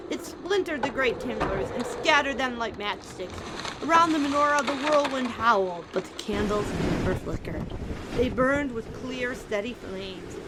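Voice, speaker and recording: A woman's voice: on the high side, background noise. A woman narrating a storybook as a dramatic reading, theatrical and emphatic, building suspense.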